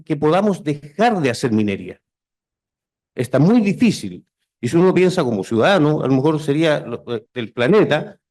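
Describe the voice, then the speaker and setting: Chilean man presenting, slightly high-pitched voice. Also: darkish tone. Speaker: conference speaker. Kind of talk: informal presentation to a seminar audience.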